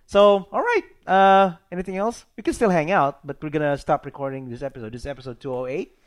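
A man's voice: fairly high pitch, animated, quick pace. A man co-hosting a casual podcast, laughing often and rambling through restarts. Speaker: podcast co-host. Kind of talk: casual chat.

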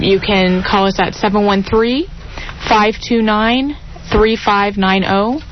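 Young woman, 20, giggly co-presenter, mid pitch, lo-fi radio. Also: a little hiss or hum. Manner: chatty and giggly, slows for details. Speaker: youth group presenter. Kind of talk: casual radio talk. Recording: dull lo-fi radio broadcast.

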